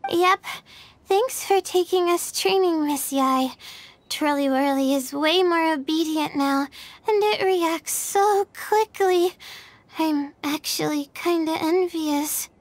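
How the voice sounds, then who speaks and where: A woman voicing a sleepy, gentle young student in a video game, with a hesitant manner. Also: very high-pitched, takes it slowly, no echo. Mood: earnest, worried. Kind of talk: video game character acting. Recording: music playing behind the voice.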